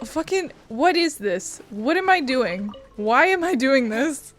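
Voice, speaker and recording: A woman's voice: sits high, animated, takes it slowly, a little hiss or hum. A woman giving casual let's-play commentary, profane, sardonic and rambling. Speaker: game streamer.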